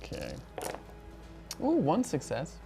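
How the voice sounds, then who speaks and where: A woman's voice: low voice, huge pitch swings, takes it slowly, gravelly, dark tone, soft background music. A woman chatting casually as a player in a tabletop role-playing game.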